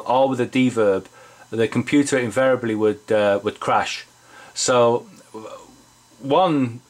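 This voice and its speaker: A man giving a casual, rambling tutorial from his home studio, with frequent fillers and restarts. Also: mid-range voice. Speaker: home recording hobbyist.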